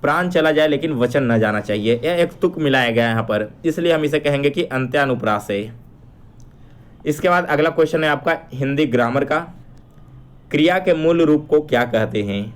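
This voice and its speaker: A man's voice: on the high side, lively intonation, normal speed, clear, resonant tone. A man teaching an exam-prep lesson, addressing learners directly and restating each question before explaining it.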